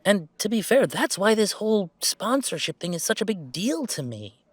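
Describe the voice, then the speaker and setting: A man playing an earnest, playful young werewolf gamer in an intimate, conversational roleplay audio, speaking in a halting, emphatic way. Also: sits high, no echo. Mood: earnest, hopeful. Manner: halting, emphatic, expressive, with sighs and chuckles.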